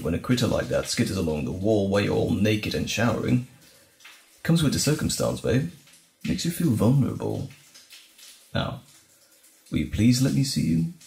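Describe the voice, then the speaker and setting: A man acting as a tender boyfriend in an intimate audio roleplay, with a lively, swooping delivery and a crackly, creaky edge. He soothes and lightly teases his partner, and his voice rings in a roomy, echoing space. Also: on the low side. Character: gentle, caring boyfriend comforting frightened partner.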